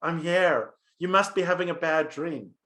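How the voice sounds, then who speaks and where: A man playing a calm, gentle mother from a storybook, hushed and soothing as she wakes her child. She speaks softly and warmly, then briskly gets him going. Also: fairly high pitch.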